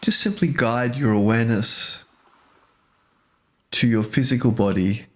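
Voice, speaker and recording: Slow-paced man guiding meditation. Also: mid-range voice. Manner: gentle, unhurried instruction in short phrases with pauses. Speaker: meditation teacher. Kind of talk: guided meditation.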